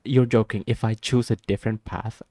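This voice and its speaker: A man's voice: on the low side, fairly even intonation, a little fast, dark tone, no echo. A man giving casual gameplay reaction commentary, gushing and easily awed. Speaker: gameplay video host. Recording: game music behind the voice. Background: game music.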